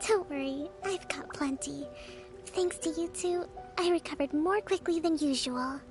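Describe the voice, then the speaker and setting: A very high-pitched young female leader, composed and gentle, with formal, earnest phrasing in a video game style. Music plays clearly behind the voice. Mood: calm, reflective. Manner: formal, measured, sincere.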